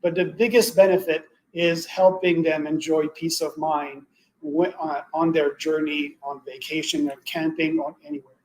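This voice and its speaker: Higher-voiced man giving a halting product presentation. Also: lively intonation, low-quality recording. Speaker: webinar presenter, product expert. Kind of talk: semi-scripted sales presentation.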